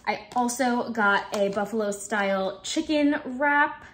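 A woman in her twenties chatting casually in her home kitchen, relaxed and full of ums and likes, filmed by a camera in front of her. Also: on the high side, a little slow. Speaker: vlogger. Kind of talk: casual grocery haul chat. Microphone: camera in front, close framing.

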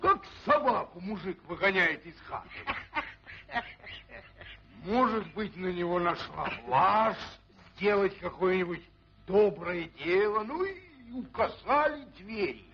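A swaggering, troubled Cossack from an old cartoon. His voice is gravelly and forceful, swooping widely as he tells his tale in dramatic fashion. The soundtrack is dull. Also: high-pitched, animated, exaggerated voice. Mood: wry storytelling. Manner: slow, dramatic folk-tale telling. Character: brooding, swaggering Cossack storyteller with a troubled soul. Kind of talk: theatrical Soviet cartoon acting.

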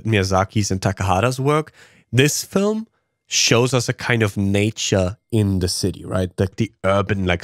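Low-voiced man chatting about film. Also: no echo, studio quality. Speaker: podcast panelist. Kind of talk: casual film discussion.

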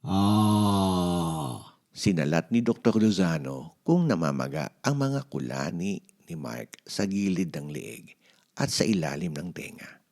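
A man with a Filipino accent reading a children's story aloud as an expressive storyteller. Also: deep voice, a little slow, gravelly.

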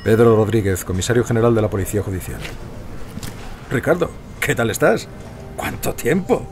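An actor playing a brisk, authoritative male police commissioner in a crime audio drama. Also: on the low side, big pitch swings, vocal fry, darkish tone, slight background noise. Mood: brisk, surprised.

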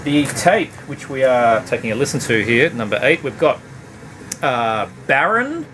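A middle-aged man chatting casually about his records at home, relaxed and rambling, with many uhs and ums. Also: medium pitch, a little slow. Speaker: vinyl collection vlogger. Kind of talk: casual record review chat. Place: home room lined with CD shelves. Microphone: camera at desk distance. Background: background hum, faint tape music.

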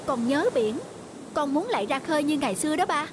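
A woman's voice: high-pitched, expressive, fairly quick, noisy background. A woman voicing an earnest, respectful young man, a devoted fisherman's son in a cartoon folk tale. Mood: earnest, hopeful.

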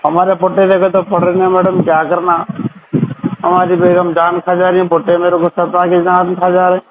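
A man's voice: high voice, monotone, moderate pace. A man with a Hyderabadi accent rambling through exasperated, repetitive complaints over the telephone. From Hyderabad Old City, India. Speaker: called customer. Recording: noisy narrowband phone call recording.

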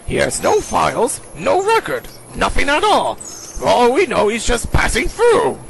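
Radio play scientist villain: pompous, scheming, bright forceful delivery, slightly noisy recording. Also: male voice, very high-pitched, wildly swooping pitch, a little slow, creaky, heavily acted. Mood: grave, calculating. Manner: pompous, theatrical. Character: blustering male scientist villain, indignant and scheming. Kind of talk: amateur radio play acting.

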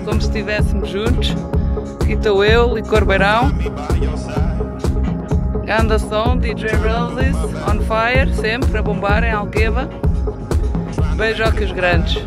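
A woman's voice: mid-range voice, fairly even intonation, slow, long pauses. A middle-aged woman with a European Portuguese accent giving a short personal greeting, filmed outdoors on a phone. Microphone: phone at arm's length. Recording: loud music over the voice.

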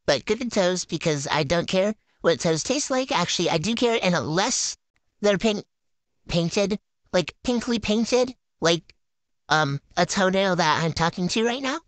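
A woman's voice: on the low side, animated, measured pace, no room sound. A woman voicing a bratty, ditzy female gymnast in a silly game roleplay, with a boastful valley-girl drawl, on a dry close mic. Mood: bossy. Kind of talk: improvised game roleplay voiceover.

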